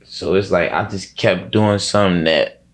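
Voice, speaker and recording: A man talking casually as an interview guest, slangy and reflective, often halting and leaning on filler words. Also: low-pitched, steady tone.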